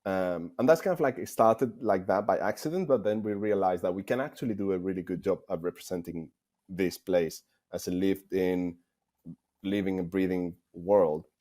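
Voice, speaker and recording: A man with a Spanish accent and a deep, relaxed, dark voice. He speaks as a game developer giving conversational interview answers, working out his phrasing as he goes and often repeating words. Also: normal speed. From Spain.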